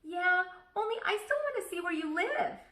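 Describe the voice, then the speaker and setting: A woman voicing an excitable, nosy, stubborn kindergarten girl from a children's storybook, blurting things out emphatically. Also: very high voice, normal speed, voice pushed up. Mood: eager, nosy. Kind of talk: children's storybook read-aloud. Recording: echoey room.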